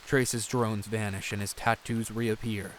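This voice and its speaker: A man's voice: fairly low pitch, little pitch movement, unhurried, slight background noise. A man narrating an audio drama in scripted, present-tense prose.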